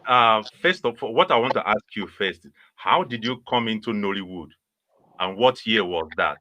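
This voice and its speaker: A man's voice: fairly low pitch, expressive, normal speed, pushed and bright. A young adult man, hosting a casual interview on a video call with friendly questions. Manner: friendly, laughing, plain questions. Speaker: host. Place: indoors, small room with red wall. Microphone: webcam mic on video call.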